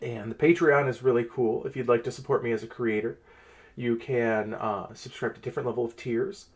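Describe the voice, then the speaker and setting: A man's voice: medium pitch, steady tone, fairly quick. A man giving a casual, conversational update as the host of a tabletop gaming channel.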